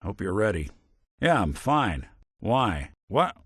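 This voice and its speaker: A man's voice: lowish voice, sing-song, slow pace, fairly bright tone, breathy edge, no room sound, studio quality, full character voice. A man voicing a weary, wry old-school detective robot with an American accent and hard-boiled noir phrasing, in a video game. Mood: dry, businesslike.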